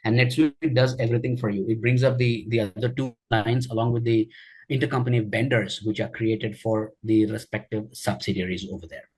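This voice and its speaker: A man teaching a software tutorial during a screen share, explaining each step with frequent fillers and check-ins. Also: medium pitch, steady tone, a little fast, husky, low-quality recording. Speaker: software trainer.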